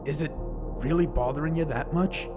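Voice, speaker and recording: A man's voice: fairly high pitch, moderate pace, over loud music, close to an everyday voice. A man playing a tough, irritable teenage boy lynx in an audio drama, dismissive and foul-mouthed but secretly caring. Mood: apologetic, uneasy.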